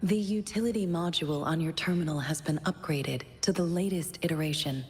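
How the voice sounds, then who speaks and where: A woman playing a calm, polite, formal young bodyguard in a video game, speaking in a measured way. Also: low voice, breathy edge, big-room sound, faint music behind. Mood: businesslike. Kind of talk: scripted video game dialogue.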